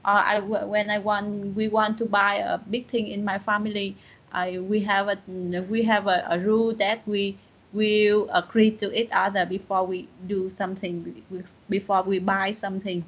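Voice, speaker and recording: A woman with a Vietnamese accent, a student speaking English in an online lesson, halting and searching for words with many restarts. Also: medium pitch, level tone, lo-fi sound.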